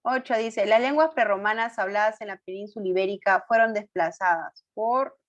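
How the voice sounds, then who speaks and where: A woman teacher leads an online class through quiz answers, her pitch sitting in an ordinary middle range. She keeps asking the students questions and nudging them toward the answer, confirming with short hums, and stops to correct her own mistakes with an apology. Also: normal speed, lo-fi sound. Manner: questioning and coaxing students, fillers like "ujú"; corrects herself aloud, apologetic.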